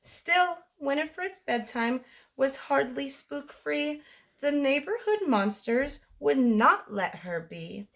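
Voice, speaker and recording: A woman reading a picture book aloud to young children as a storytime host, in an expressive sing-song delivery. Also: sits high, slow pace.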